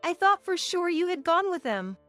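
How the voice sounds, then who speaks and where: A woman's voice: extremely high pitch, very animated, normal speed, a bit bright, faint music behind, voice pushed up, full character voice. A woman voicing an astonished grandmother, startled and urgent, in a narrated story. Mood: surprised, worried. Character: astonished grandmother finding her abandoned grandchild.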